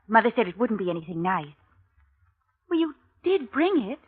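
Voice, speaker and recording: An actress playing a spirited, playful young English heiress in a 1950s radio drama. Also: female voice, on the high side, sing-song, moderate pace, breathy edge. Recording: tinny old radio recording.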